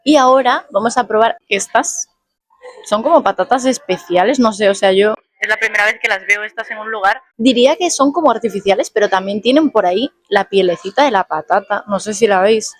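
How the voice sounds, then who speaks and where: A young woman with a Spain Spanish accent, half hoarse, chatting casually while reviewing food for her vlog. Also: mid-range voice, dry recording. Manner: chatty, playful, quick asides. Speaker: food vlogger. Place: fast food restaurants and the street outside.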